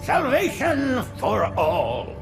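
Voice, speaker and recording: An actor playing a zealous, aged alien prophet in a video game, preaching in florid, reverent phrases. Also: male voice, very high voice, huge pitch swings, drawn-out words, creaky, full character voice. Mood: fervent reverence. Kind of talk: theatrical video game acting. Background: cinematic music.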